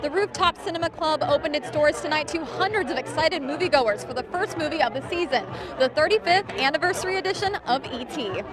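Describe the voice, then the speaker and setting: High-voiced woman reporting the news. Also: expressive, a little fast, few pauses, a crowd in the background. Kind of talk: scripted broadcast news reporting.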